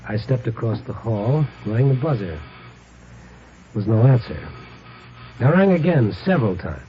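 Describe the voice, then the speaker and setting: An actor plays a hard-boiled, slangy male investigator with a medium-pitched, very animated delivery. He is wry and easily exasperated, narrating and acting in 1950s radio crime style. The recording is muffled and faintly noisy. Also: normal speed, natural voice.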